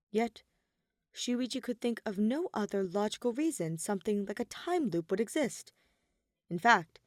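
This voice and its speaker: A woman's voice: mid-range voice, a little mellow, no room sound. Female story narrator, very dry recording. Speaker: podfic narrator. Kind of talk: expressive fan-fiction narration.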